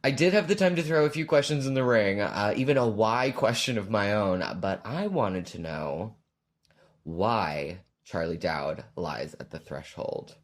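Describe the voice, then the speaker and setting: Male podcast listener, slightly low register, informal recorded voice submission. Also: animated, a little slow. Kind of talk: casual recorded voice message.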